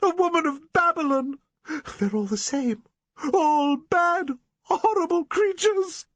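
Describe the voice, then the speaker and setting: A man voicing a shrill, hysterical, fanatical middle-aged spinster in an audiobook reading. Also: wildly swooping pitch, normal speed, dry recording. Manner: shrill, breathless, rising to frenzied.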